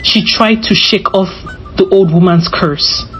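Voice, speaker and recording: A woman's voice: medium pitch, measured pace. A woman narrating a scripted folktale as a storyteller. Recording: slightly dull recording with music behind. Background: music.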